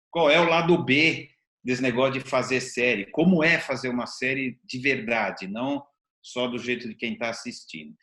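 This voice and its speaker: A man's voice: medium pitch, a bit bright, reverberant. A man with a Brazilian Portuguese accent, asking informal, colloquial questions as an interview host.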